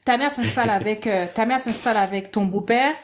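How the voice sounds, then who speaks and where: A woman's voice: a little high, fairly quick, a little hiss or hum. A woman hosting a podcast interview, recapping her guest's story and asking probing questions. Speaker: podcast host.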